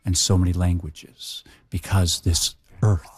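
Slow husky man, solemn and measured. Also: sits low, little pitch movement. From Mohawk nation, Iroquois. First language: Mohawk. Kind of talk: solemn spoken reflection.

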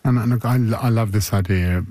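Man hosting interview, low mellow voice, relaxed, dry sound. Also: a little fast, no room sound. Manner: relaxed, with encouraging backchannels. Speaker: interviewer, host. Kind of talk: conversational interview.

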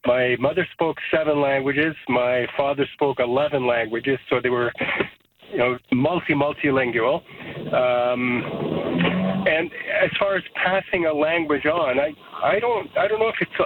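A man's voice: mid-range voice, a little hiss or hum. A Canadian-born man whose first language is Serbo-Croatian, calling in to a radio show and talking thoughtfully with many restarts. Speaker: caller. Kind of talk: call-in radio chat. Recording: tinny phone line.

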